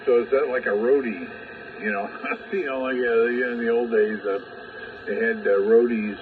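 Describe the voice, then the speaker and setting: A man's voice: medium pitch, steady tone, normal speed. An older man chatting casually as a ham radio operator, rambling and trailing off mid-thought, heard through a radio. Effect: two-way radio transmission. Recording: narrow tinny shortwave radio, clearly noisy, distant.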